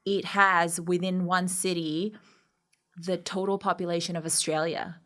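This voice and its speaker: Reflective woman with a lowish voice, interviewed. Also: level tone, a little slow, a bit bright. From Karachi, Pakistan. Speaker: podcast guest, speaker and coach. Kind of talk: candid interview, personal storytelling.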